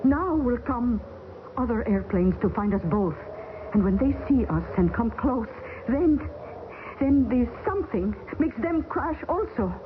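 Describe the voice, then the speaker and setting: An actress playing a grave, fatalistic woman stranded in the Arctic, speaking with solemn, halting, broken English, in an old radio drama. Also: a little high, expressive. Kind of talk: 1970s radio drama acting. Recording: hissy, tinny old radio broadcast.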